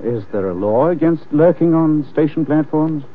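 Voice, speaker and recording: A man playing a suave, dryly witty gentleman sleuth in an old-time radio drama, unhurried and given to wry understatement. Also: a little high, expressive, a little hiss or hum, lightly acted. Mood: dryly amused. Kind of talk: 1940s-50s radio drama acting. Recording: tinny, muffled old-time radio recording.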